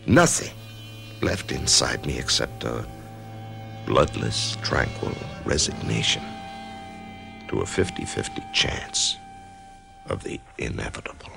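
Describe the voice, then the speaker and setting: A brooding middle-aged American in a radio mystery, with an extremely low, crackling voice. He tells his own grim story in a measured, introspective, halting way, and his pitch moves expressively. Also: big pitch swings, music in the background, full character voice. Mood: haunted, detached. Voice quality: gravelly. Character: brooding man facing death, narrating his own ordeal. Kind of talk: radio drama acting with first-person narration.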